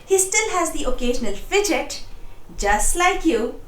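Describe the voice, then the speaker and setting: A woman narrating a children's storybook in a lively, expressive read-aloud style, addressing young listeners directly. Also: high voice, measured pace, reverberant.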